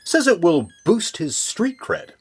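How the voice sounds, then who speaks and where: A man plays an overconfident agent in a comic audio drama. His husky voice swoops wildly up and down over faint music. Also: high voice, big pitch swings, slow, exaggerated voice. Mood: confident. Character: overconfident, excitable male agent with wild plans. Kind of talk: comedic audio drama acting.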